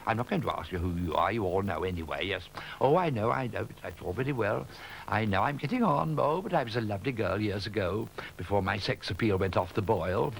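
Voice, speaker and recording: A man's voice: on the low side, lively intonation, fairly quick, vocal fry, slight background noise, low-quality recording. An older man telling gossipy comic gags as a pantomime dame, chuckling at his own jokes. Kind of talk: stage comedy patter.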